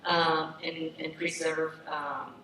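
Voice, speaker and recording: A woman's voice: low voice, little pitch movement, takes it slowly. A woman from Brazil with a Brazilian accent, speaking earnestly as a panelist, with many restarts and fillers. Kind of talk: panel discussion. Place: stage at a summit. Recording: echoey hall, distant mic, noisy.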